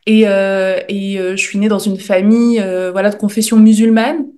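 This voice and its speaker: A woman's voice: medium pitch, fairly even intonation, normal speed, dark tone. A woman from France speaking as a podcast guest, in an informal, reflective conversational way. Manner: informal, reflective, often checking with "tu vois". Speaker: podcast guest, journalist and author. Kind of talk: casual interview talk.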